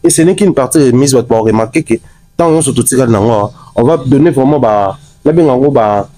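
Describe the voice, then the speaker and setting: Congolese man, fan spokesman, emphatic, mellow tone, dry sound. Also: medium pitch, fairly quick, no echo. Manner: emphatic, mixes in Lingala words. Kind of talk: street interview.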